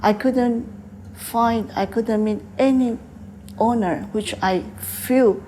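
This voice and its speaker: Older Taiwanese woman in halting English. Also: a little high, dark tone, a little hiss or hum. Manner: hesitant, halting English with many fillers. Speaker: guest, tea house owner. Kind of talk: interview answers.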